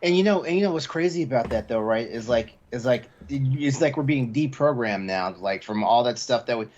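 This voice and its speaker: A man's voice: medium pitch, expressive, brisk, darkish tone, dull audio. A man co-hosting a podcast in casual, candid chat, emphatic and repeating phrases as he goes. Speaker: podcast co-host.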